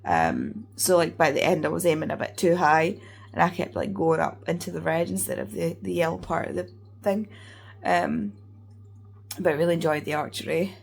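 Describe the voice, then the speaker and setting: A woman with a hoarse, congested voice, chatting casually in a rambling way as a book vlogger. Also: low voice, expressive, moderate pace. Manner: rambling, chatty, many fillers. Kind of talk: casual vlog chat.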